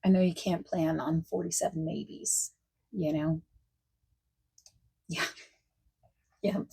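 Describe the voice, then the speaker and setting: A woman playing a friendly, accommodating party planner in a softspoken ASMR roleplay, chatting casually and reassuringly, at times nearly whispering. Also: sits low, fairly even intonation, creaky, slightly rough, heavily acted. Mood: friendly, chatty. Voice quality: soft-spoken, breaking into near-whispers. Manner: casual, reassuring, chatty, pausing for unheard replies. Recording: faint noise, keyboard typing and clicking.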